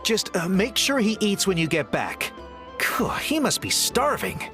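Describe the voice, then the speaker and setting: Breezy, very animated game healer. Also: female voice, mid-range voice, moderate pace. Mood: relieved, brisk. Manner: casual, matter-of-fact. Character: breezy, reassuring female healer. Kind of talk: video game voice acting. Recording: studio voice over game music.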